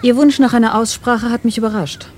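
A woman's voice: on the high side, steady tone, a little fast, a little mellow, a little hiss or hum, natural voice. An actress playing a sceptical, guarded woman in a radio drama, speaking formally and questioningly. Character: guarded, sceptical woman, girlfriend of a test subject. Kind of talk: 1990s German radio drama acting.

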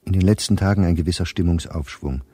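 An actor playing a weary middle-aged professor reading his private diary in a radio play, measured and reflective. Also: deep voice, no room sound, clean studio sound. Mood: sober. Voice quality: dark, husky.

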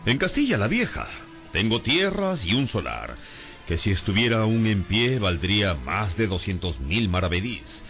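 An actor in a radio drama playing a proud, impoverished gentleman obsessed with honour, speaking in a grandiose, courtly manner. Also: lowish voice, wildly swooping pitch, moderate pace, soft background music, exaggerated voice. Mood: wistful, proud. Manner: grandiose, courtly, old-fashioned phrasing. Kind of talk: scripted radio drama acting.

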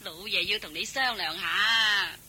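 A woman's voice: mid-range voice, unhurried, bright, forceful tone, a little hiss or hum. An actress playing a shrewd, chatty household servant woman in a Cantonese radio drama, coaxing and practical. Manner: coaxing, colloquial, exclaiming.

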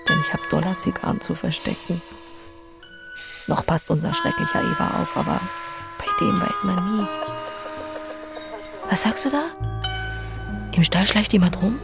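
An actress playing an earthy, unflappable pig farmer in an audio drama, with a plain, dry manner. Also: female voice, medium pitch, sing-song, moderate pace, vocal fry, pauses often, loud music behind the voice. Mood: playful, conspiratorial. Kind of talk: German audio drama acting.

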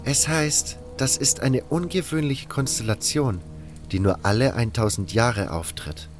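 An actor playing a cold, condescending baron who gives orders in a fantasy audio drama, with music underneath. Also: male voice, medium pitch, measured pace, lightly acted. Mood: musing. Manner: condescending, scornful. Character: cold, haughty male baron giving orders.

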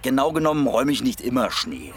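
An actor playing an odd, earnest man who endlessly clears snow, speaking in simple deadpan statements in a radio play. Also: medium pitch, fast, background noise, natural voice.

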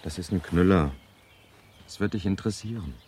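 A man's voice: low voice, brisk, darkish tone, husky. An actor playing a terse, insistent male university student in a radio drama.

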